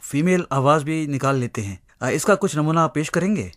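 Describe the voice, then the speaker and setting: A man's voice: a little high, expressive, fairly quick, fairly bright tone. A man putting questions to a guest in a radio interview.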